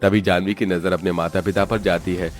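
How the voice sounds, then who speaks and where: Man, story narrator, lowish pitch, scripted delivery, soft background music. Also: level tone, brisk. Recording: music under the voice.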